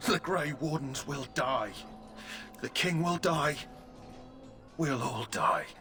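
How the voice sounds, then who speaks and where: A man's voice: on the high side, animated, slow pace, over music. A man voicing a terrified soldier in a video game, rambling frantic warnings that everyone will die. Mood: panicked. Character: terrified male soldier raving about doom.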